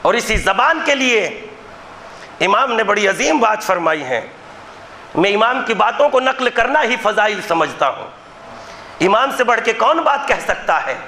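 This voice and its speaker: A man delivering a Muharram majlis sermon in impassioned oratory, shouting many lines. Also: sits high, big pitch swings, unhurried, slight background noise. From Gujarat, India. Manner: impassioned, declaiming, often shouting rhetorical lines. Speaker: maulana preaching a majlis. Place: religious gathering hall.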